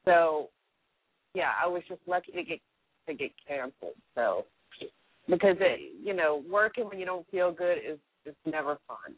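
A woman with a deep voice, sick with a cold, chatting casually and giggling as co-host. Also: animated, moderate pace, breathy edge, dry recording. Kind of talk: casual chat. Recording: tinny phone line.

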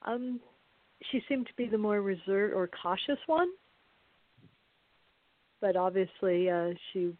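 A woman's voice: medium pitch, slow pace, long pauses, dry recording. A woman answering casually as an interviewed cast member, hesitant and repeating her phrases. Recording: dry, narrow phone-like call audio.

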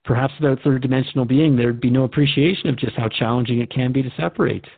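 A male radio host speaks thoughtfully and courteously, as if interviewing a guest in a radio drama. His mid-pitched voice sounds close and dry, squeezed into a narrow telephone-like band. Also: quick pace, gravelly, no room sound.

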